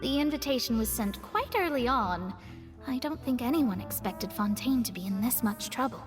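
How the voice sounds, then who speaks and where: A woman's voice: a little high, lively intonation, normal speed, long reverb tail, music in the background, close to an everyday voice. A woman voicing a proud young female astrologist in a studio game, composed and a little haughty as she explains things. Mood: serious.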